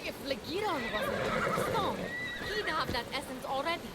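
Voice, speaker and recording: A woman's voice: high voice, animated, normal speed, very noisy. A woman playing an eager, determined young adventurer heroine with an upbeat, wry manner in a video game cutscene. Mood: urgent, determined.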